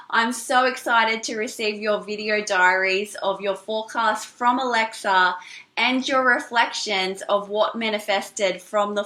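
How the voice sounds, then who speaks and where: A woman's voice: fairly high pitch, pushed and bright. A woman hosting and introducing a documentary series in an upbeat, scripted presenting style. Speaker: host and creator of the challenge. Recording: echoey room, music behind.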